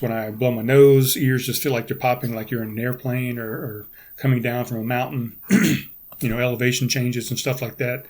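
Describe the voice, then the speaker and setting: A middle-aged man talks casually on his own podcast, his voice raspy and hoarse from congestion. His pitch sits in an ordinary middle range. He rambles, restarts his sentences and keeps clearing his throat. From United States. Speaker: podcast host. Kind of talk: casual solo podcast talk.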